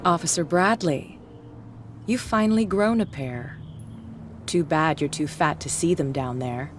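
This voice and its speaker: An actor playing a taunting, menacing gangster boss in a video game, sneering and threatening. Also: male voice, on the high side, a little slow, a little hiss or hum, close to an everyday voice. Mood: mocking.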